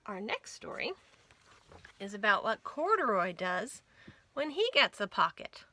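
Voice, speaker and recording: A woman hosting a children's storytime, reading picture books aloud in an expressive, sing-song way. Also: medium pitch, a little slow, faint music behind. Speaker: children's library storytime host. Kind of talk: animated picture-book read-aloud for young children.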